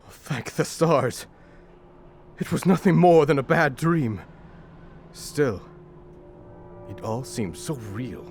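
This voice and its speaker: A man's voice: mid-range voice, animated, slow pace, long pauses, darkish tone, faint music behind. Man acting an anguished miser, wildly swinging voice. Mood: relieved, shaken. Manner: theatrical, pleading. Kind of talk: audio drama acting.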